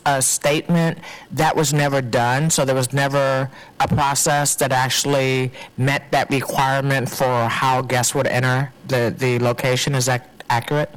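A man, a commissioner, asking halting questions of staff at a public hearing while searching for words. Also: medium pitch, clean tone, low-quality recording.

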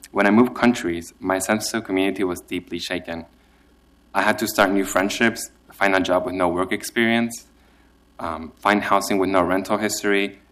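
A man's voice: deep voice, a bit bright. A young man from Chile giving nervous, careful testimony that he reads from a prepared statement. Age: young adult. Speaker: immigrant program participant giving testimony.

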